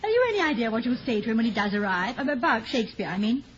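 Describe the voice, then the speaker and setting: An actress playing a poised, affectionate, dryly teasing wife of a college president in a 1950s radio comedy. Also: female voice, on the high side, very animated, rapid-fire, crowd noise. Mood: fond. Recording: dull, hissy 1950 radio recording.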